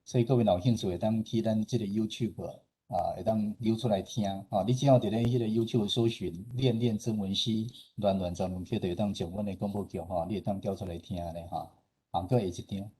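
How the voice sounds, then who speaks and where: Taiwanese guy doing a talk, soft dark husky voice, explaining stuff casually. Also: fairly low pitch, fairly even intonation, fairly quick. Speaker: radio station head presenting at a forum. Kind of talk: informal presentation with slides.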